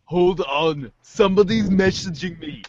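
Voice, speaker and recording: A man's voice: sits high, a little slow, muffled recording, noisy background. A man voicing a wordy, dryly sardonic teenage girl in an amateur reader's theater.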